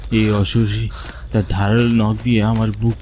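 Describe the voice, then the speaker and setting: A man's voice: lowish voice, flat delivery, normal speed, mellow, slight background noise. A man reading a scripted horror story as a dramatic first-person narrator.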